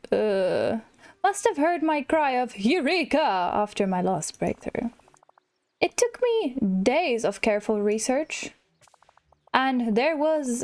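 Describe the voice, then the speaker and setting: A woman's voice: high-pitched, a little mellow, heavily acted. A woman voicing an eager, scholarly old male wizard from a game, fussy and a little hesitant.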